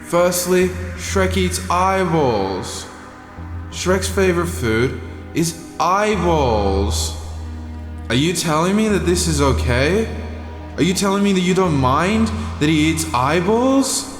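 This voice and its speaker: Man, higher-pitched voice, slow indignant declamation, mock-serious comic rant, strong echo. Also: lively intonation. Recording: echoey hall reverb, music behind.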